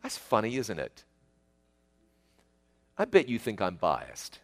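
A man's voice: fairly low pitch, wildly swooping pitch, takes it slowly, vocal fry. A middle-aged man with a New Zealand accent, telling childhood stories in a folksy, chatty way and speaking straight to the listener. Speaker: storyteller. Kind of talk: casual spoken reminiscence.

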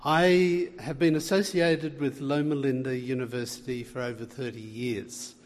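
A man's voice: mid-range voice, fairly even intonation, moderate pace. A middle-aged man with an Australian accent, giving an earnest lecture to a church audience, with frequent fillers and restarts. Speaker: guest speaker, health researcher. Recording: long reverb of a large hall.

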